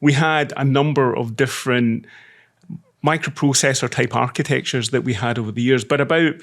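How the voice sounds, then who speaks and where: A middle-aged man with a Scottish accent, a podcast guest who talks in a measured, self-deprecating way with frequent restarts. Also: mid-range voice. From Scotland. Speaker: podcast guest, semiconductor executive. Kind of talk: casual interview talk.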